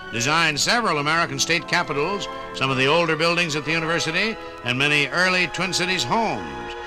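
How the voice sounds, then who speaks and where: A man narrating a scripted 1950s radio documentary in a measured, formal announcer style. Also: on the high side, poor audio. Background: music.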